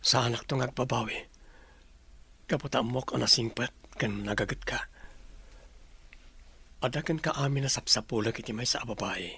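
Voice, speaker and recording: A man's voice: mid-range voice, normal speed, creaky, long pauses, pushed and bright, husky, heavily acted. A man playing a sincere young suitor in an audio drama. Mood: tender, persuasive. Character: sincere young man, courting, done with his ex.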